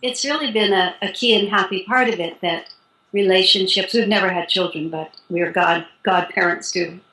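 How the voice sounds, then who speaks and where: Older lady, spiritual teacher, easy unhurried talk, roomy sound. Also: mid-range voice. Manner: calm, unhurried explaining with light laughs. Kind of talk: interview guest. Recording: roomy echo.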